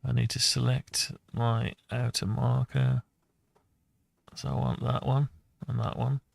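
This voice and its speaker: A man thinking aloud and muttering to himself through an unscripted software walkthrough. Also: mid-range voice, level tone, unhurried, creaky, no echo. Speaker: hobbyist tutorial maker.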